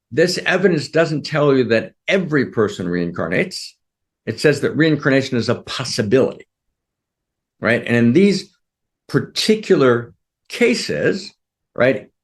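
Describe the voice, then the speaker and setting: Earnest man teaching a group. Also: medium pitch. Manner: keeps checking in with listeners, repeats phrases. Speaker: spiritual teacher.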